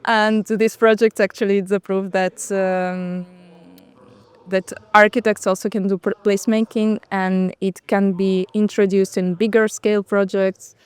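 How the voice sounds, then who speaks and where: A woman's voice: medium pitch, little pitch movement, unhurried, clean tone. A woman from Russia, whose first language is Russian, answering interview questions as a guest, speaking hesitantly with many fillers and restarts. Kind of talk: casual interview conversation. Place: outdoors on a bench at a city event.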